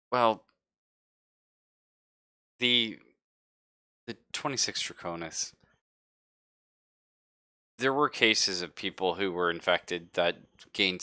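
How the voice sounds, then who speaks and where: A man casually talking through his character in a tabletop roleplaying game, with hesitant, halting phrasing. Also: sits low, bright, forceful tone, no echo, studio quality. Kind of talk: casual chat, narrating a character.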